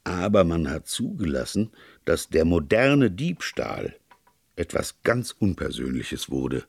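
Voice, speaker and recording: A man voicing a calm, earnest priest detective who reasons at length in an audiobook. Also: low-pitched, takes it slowly, creaky, slightly rough, no room sound, exaggerated voice. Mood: rueful, earnest. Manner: even-tempered, sincere, discursive. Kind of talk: audiobook character dialogue.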